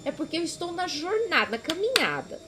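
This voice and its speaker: A woman's voice: extremely high pitch, big pitch swings, brisk, background noise. A Brazilian woman with a Brazilian accent, chatting casually in a rambling devotional vlog, correcting herself and laughing at herself. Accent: Brazilian Portuguese.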